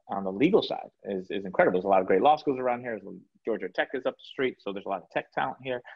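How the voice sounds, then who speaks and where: A man's voice: lowish voice, breathy edge, lo-fi sound. A man from South Florida co-hosting a podcast, talking emphatically and casually over a video call from home. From South Florida, USA. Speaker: podcast co-host. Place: home room, indoors.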